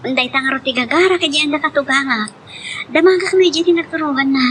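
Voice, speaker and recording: Woman acting fretful young Ilocano wife, high-pitched, amateur radio drama, noticeable background noise. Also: fast, a bit bright. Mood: subdued, worried. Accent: Ilocano Filipino.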